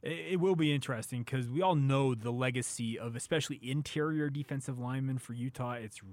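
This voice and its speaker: An American man hosts a sports talk show in a conversational commentary style. His voice sits at a middling pitch for a man. The recording is dry, with hardly any room around it. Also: fairly quick, darkish tone, no echo.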